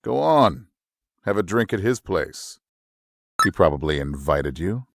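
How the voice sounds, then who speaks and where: An actor playing a jealous, controlling husband who talks in a sarcastic, needling way. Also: male voice, deep voice, big pitch swings, a little slow, vocal fry, no room sound, heavily acted. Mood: snide.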